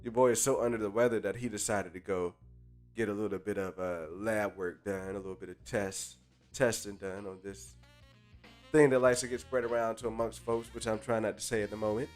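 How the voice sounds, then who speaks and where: A man's voice: on the low side, fairly even intonation, brisk, a little mellow. A man hosting a live stream in casual chat, stuffy-nosed and under the weather, talking in hyped slang and greeting chatters by name. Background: faint live jazz music.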